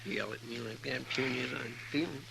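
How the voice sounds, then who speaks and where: A man's voice: mid-range voice, steady tone, fairly quick, creaky, very noisy. A man acting a folksy, deferential deputy in a 1950s radio western, with a drawling rural Western American accent. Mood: self-pitying. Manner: drawling, homespun. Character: folksy, deferential, complaining deputy in old West. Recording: 1950s radio recording, loud music.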